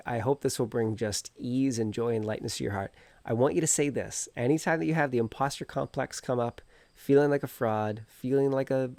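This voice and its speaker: A man's voice: medium pitch, darkish tone, dry recording. Stuffy-nosed man giving earnest encouragement. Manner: earnest, encouraging, speaks directly to the viewer. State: stuffed-up nose from a cold. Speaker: energy healer and coach. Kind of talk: encouraging self-help video talk. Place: outdoors.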